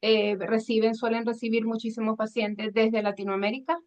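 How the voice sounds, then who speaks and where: A woman's voice: on the high side, little pitch movement, normal speed, darkish tone. A woman hosting a medical podcast interview, asking friendly, hesitant questions with many "eh" fillers. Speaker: podcast host. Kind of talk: hosted interview. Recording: dull lo-fi sound.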